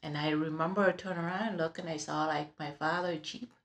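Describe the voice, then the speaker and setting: A middle-aged woman with a Vietnamese accent telling her life story in an interview, halting with many fillers and restarts, recorded in an office with background noise. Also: low voice, fairly even intonation. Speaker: interviewee, realtor. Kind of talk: personal life story in conversation.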